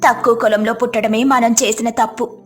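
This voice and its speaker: Woman playing high-voiced plaintive village wife. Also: flat delivery, fairly quick, slightly rough, faint music behind. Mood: resigned. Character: poor, devout, supportive village wife.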